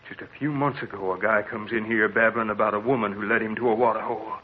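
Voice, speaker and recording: A man's voice: lowish voice, fairly even intonation, fast, slightly rough. An actor playing a skeptical male townsman who speaks in a folksy drawl in a 1940s radio drama. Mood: wry. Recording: tinny old radio broadcast.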